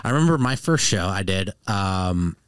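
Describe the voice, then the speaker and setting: Boston-accented man, low voice, dry mic. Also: moderate pace, smooth, dry recording. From Boston, Massachusetts. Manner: animated storyteller, laughs through punchlines. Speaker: guest comedian. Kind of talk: casual podcast chat.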